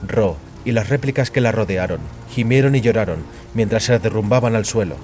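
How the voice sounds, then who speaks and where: A man narrating dramatic fiction in a solemn, measured way. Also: on the low side, a little mellow, soft background music.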